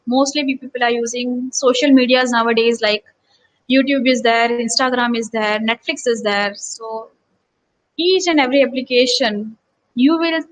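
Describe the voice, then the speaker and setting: A woman's voice: fairly high pitch, low-quality recording. A woman from Gujarat, an associate professor giving an online workshop lecture and asking her listeners rhetorical questions. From Gujarat, India. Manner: earnest teacherly tone with rhetorical questions.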